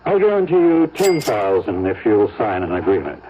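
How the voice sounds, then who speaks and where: An American man acting in an old-time radio drama as a sly, condescending negotiator who needles with dry, deliberate pauses. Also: lowish voice, very animated, dark tone, slight background noise. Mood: condescending pressure. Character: sly, condescending lawyer-like negotiator for rich woman. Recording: muffled old radio broadcast.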